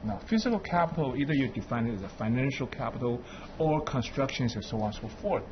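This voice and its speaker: A man's voice: mid-range voice, fast talker, highs cut off, background noise. A man from Beijing, a guest on a studio panel, making his points with emphatic, listing delivery. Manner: animated, emphatic, lists points.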